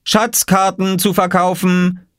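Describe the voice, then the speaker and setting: A man's voice: fairly high pitch, sing-song, moderate pace, no echo, studio quality, voice pushed up. A man voicing a cheeky, scheming little boy in a children's audiobook, eager, crowing and stubborn. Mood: calling out.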